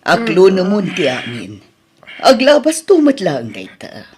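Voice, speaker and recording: A woman's voice: on the low side, huge pitch swings, a little slow, a crowd in the background. A woman acting in an Ilocano audio drama as a concerned, motherly boss, with an Ilocano accent. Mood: consoling.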